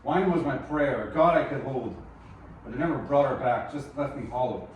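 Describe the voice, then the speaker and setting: A man's voice: mid-range voice, moderate pace, lightly acted. A man playing a broken, grieving musician in a screenplay table read, brooding and subdued. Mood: bitter grief. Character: Beric, broken, haunted, grieving male musician. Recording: distant, echoey room with clear noise.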